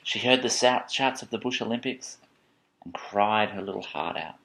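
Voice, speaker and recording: A man reading a children's picture book aloud in an expressive, playful way, with chatty asides to a child. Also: lowish voice, normal speed, gravelly, poor audio. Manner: expressive, playful, dramatic storybook emphasis. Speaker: parent reading a bedtime story to a child.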